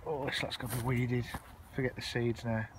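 Man, medium pitch, informal rambling vlog chat, noisy outdoor phone recording. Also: little pitch movement, moderate pace. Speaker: allotment vlogger. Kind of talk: casual walk-around vlog narration. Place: outdoors on an allotment, walking. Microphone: handheld phone held while filming.

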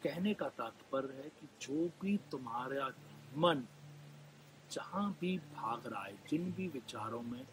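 Slow-paced man guiding meditation, Indian accent. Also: medium pitch, animated, a little hiss or hum, poor audio. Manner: gentle, soothing, with long pauses and repeated phrases. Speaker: meditation guide. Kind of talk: live guided meditation. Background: background music.

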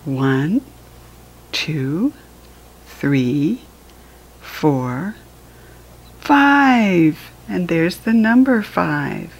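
An American woman reads aloud for young children at a very slow, deliberate pace. Her voice is fairly low and husky, with an encouraging, sing-song delivery that teaches as it goes. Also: sing-song. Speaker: children's book reader and teacher. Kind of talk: read-aloud counting lesson for young children.

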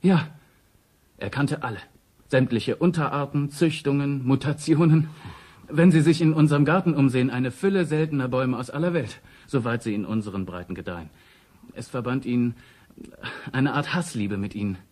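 A man playing a thoughtful, obliging son in a German radio drama, speaking in a reflective, halting way. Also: mid-range voice, darkish tone, close to an everyday voice. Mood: reminiscing. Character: thoughtful, obliging son recalling his father.

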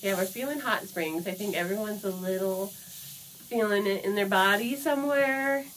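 A woman's voice: medium pitch, moderate pace, a bit bright. An American woman in her thirties chatting casually for an outdoor vlog, in a giggly, upbeat manner. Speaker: vlogger. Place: log hut and snowy woods. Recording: noisy camera audio with ambient sounds.

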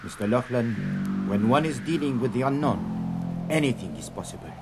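An actor playing a grave, formal scientist who leads a search team in an audio drama. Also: male voice, medium pitch, lively intonation, very noisy, lightly acted. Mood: grave, intrigued. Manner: formal, deliberate. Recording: loud music behind the voice.

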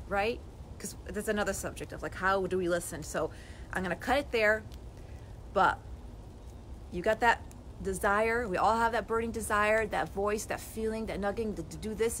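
A woman in her forties giving an earnest, animated motivational talk to a phone camera on a lawn outdoors. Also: medium pitch, a little hiss or hum, poor audio. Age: 40s. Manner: earnest, animated, gestures with both hands. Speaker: life coach vlogger. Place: outdoors on a lawn by bare woods. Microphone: phone camera at arm's length.

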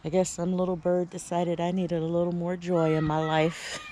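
A woman's voice: on the low side, completely flat delivery, moderate pace, dark tone, rough recording. A woman giving a casual, chatty tour of her backyard garden, talking from the camera as she walks, with easy fillers and restarts.

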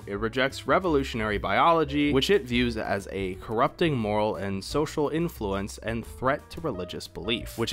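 Male video essayist, lively intonation, casual and wry, faint music bed. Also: mid-range voice. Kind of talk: casual video essay narration, conversational commentary.

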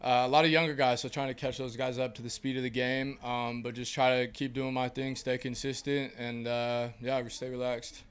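A young man, a college baseball player, giving relaxed postgame answers full of fillers into a handheld mic. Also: medium pitch, moderate pace, few pauses, smooth. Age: young adult. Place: small indoor press backdrop. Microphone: handheld mic held by host.

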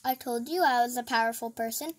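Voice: medium pitch, fast talker, fairly bright tone, lightly acted. A child voicing a pompous, bossy mayor who boasts about his power, in a storybook reading. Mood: boastful. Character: pompous bossy mayor, self-important man.

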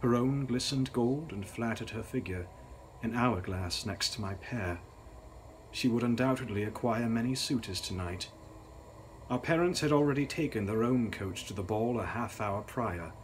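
A young man giving a measured, theatrical reading of gothic fiction as its author. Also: mid-range voice, a little hiss or hum, poor audio. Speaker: author reading own novel. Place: dark indoor room, on camera.

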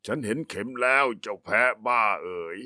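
An actor playing a villainous, boastful cartoon wolf who gloats, exclaims and groans. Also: male voice, mid-range voice, moderate pace, bright, forceful tone, dry recording, clean studio sound. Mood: gloating. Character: villainous boastful wolf, easily thwarted, whining. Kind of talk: children's cartoon dub.

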